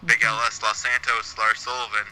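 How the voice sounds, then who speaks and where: Excitable blunt man with a sharp voice. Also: lowish voice, brisk, clear, resonant tone, soft background music, low-quality recording. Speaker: gaming commentator. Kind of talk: casual let's-play commentary.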